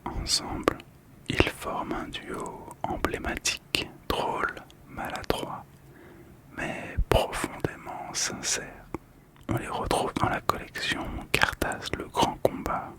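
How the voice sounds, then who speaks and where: Male ASMR host, fully whispered, unhurried and soft, calm storytelling delivery. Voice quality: breathy, unvoiced whisper. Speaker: host of a relaxation channel about collectible cards.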